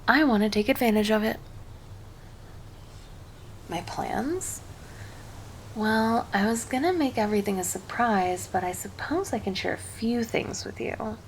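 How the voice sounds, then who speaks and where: A cheerful succubus girlfriend, acted out by a woman in an ASMR roleplay. She talks to her partner closely and fondly, with playful teasing. The voice sits at a medium female pitch with a creaky edge, over faint background noise. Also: expressive, a little slow, pauses often, rough recording. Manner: doting, teasing, speaking intimately to a partner. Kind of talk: ASMR roleplay, scripted monologue to the listener.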